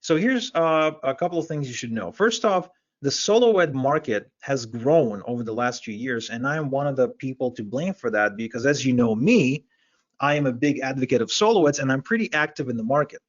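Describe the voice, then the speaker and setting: A man from Ukraine whose first language is Russian, giving a brisk, persuasive webinar presentation as an online marketing coach, often restarting his sentences. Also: mid-range voice, lively intonation, lo-fi sound.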